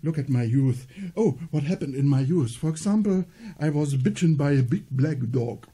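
A middle-aged man from northern Germany with a German accent, telling a slow, gentle solo theater story. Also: fairly high pitch, expressive, breathy edge. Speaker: theater performer.

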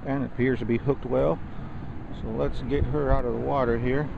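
A man hosting his own fishing video, talking casually to viewers while catching and releasing bass at a pond edge. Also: flat delivery, normal speed, vocal fry. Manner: easygoing, addresses viewers as ladies and gentlemen. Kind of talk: casual outdoor fishing commentary. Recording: muffled lo-fi camera audio with outdoor wind noise.

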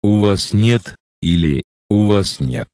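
A man slowly reading out short phrases for a language lesson, with careful, deliberate pronunciation. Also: fairly low pitch, animated, darkish tone, dry recording, studio quality. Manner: slow, deliberate enunciation. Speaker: Russian phrase reader. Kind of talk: slow scripted phrase reading.

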